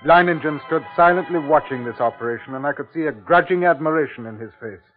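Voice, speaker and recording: An actor playing an anxious, earnest commissioner who narrates a 1950s radio drama in a grave, measured, theatrical way. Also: male voice, on the high side, close to an everyday voice. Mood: grave, ominous. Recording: tinny 1950s radio recording with music.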